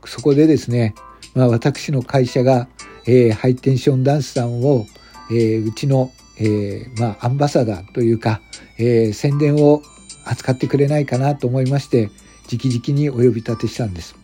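A young company president played by a man speaks in a friendly, polite and unpretentious way. His voice is very soft-toned and husky, in a radio drama with music behind. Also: mid-range voice, fairly even intonation, normal speed, natural voice. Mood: polite, businesslike. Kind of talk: amateur radio drama acting. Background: background music.

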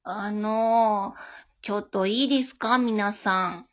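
A woman's voice: medium pitch, takes it slowly, fairly bright tone. A woman playing a mild, clock-watching ogre worker, casually polite and matter-of-fact, in an amateur comedy radio drama. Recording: dull lo-fi audio.

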